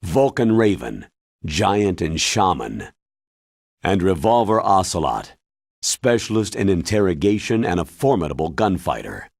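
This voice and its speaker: A man playing a grave, authoritative military commander in a video game, briefing in a deliberate, emphatic way with a husky voice. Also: sits low, sing-song, no echo, studio quality, full character voice. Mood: grave, dramatic. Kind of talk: video game cutscene acting.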